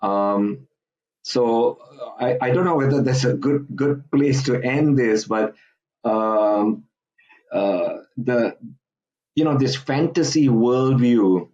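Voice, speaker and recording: A man's voice: medium pitch, a little mellow, highs cut off. A man from Singapore talking as a podcast guest, hesitant and full of "uh"s and restarts. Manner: halting, many fillers and restarts. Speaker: guest commentator. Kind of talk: podcast interview.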